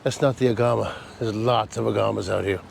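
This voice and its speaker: A man's voice: lowish voice, steady tone, brisk, vocal fry, a little mellow, slight background noise. A man with a nasal voice from a cold, chatting casually and laughing at himself while walking through an outdoor park for his travel vlog. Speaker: travel vlogger. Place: outdoor park by a causeway bridge.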